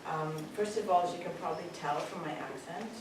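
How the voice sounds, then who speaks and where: A middle-aged woman with a Canadian accent addresses a hall full of schoolchildren, joking lightly and pausing with "um". Her fairly low voice comes from far off in a big, echoey room. Steady room noise sits under her words. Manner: warm, joking, with frequent ums. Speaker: parent giving a speech. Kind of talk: graduation speech. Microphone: distant camera.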